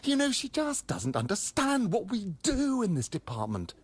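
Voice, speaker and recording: A harassed university lecturer in a radio comedy. His voice is high for a man and swings widely in pitch. He is brusque, sarcastic and full of bluster. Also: wildly swooping pitch, normal speed, husky, exaggerated voice. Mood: sardonic, exasperated. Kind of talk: radio comedy drama acting.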